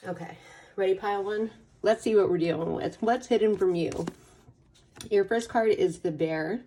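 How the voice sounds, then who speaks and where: A relaxed, mid-pitched woman talks through a card reading in a casual, playful, informal way. Her voice has a slight rasp from a lingering cold. It is picked up a little way from the microphone. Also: moderate pace, far from the mic. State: getting over a cold or allergies. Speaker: tarot reader hosting a pick a card channel.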